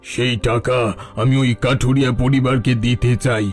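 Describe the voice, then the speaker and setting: A man's voice: medium pitch, level tone, normal speed, faint music behind, lightly acted. An actor playing an older, repentant bandit chief in a cartoon, speaking in a solemn, reflective, fatherly way. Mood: solemn regret. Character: dying old bandit chief, repentant and tender. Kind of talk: Bengali cartoon story dialogue.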